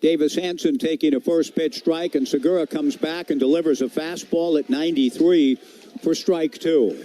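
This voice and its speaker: A man's voice: fairly high pitch, fairly even intonation, normal speed, mellow. An American man calling a live baseball game as a radio play-by-play announcer, with ballpark ambience around him. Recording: live broadcast with clear background noise.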